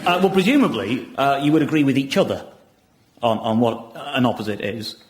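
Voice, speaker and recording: A man's voice: mid-range voice, lively intonation, fairly quick. A man presenting a comedy show on the radio, recorded live.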